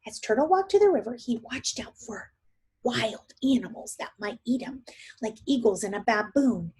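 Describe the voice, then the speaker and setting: Husky-voiced woman reading aloud to children. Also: on the high side, normal speed. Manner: expressive, thinks aloud to young listeners. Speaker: teacher. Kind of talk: read-aloud story for young children with questions.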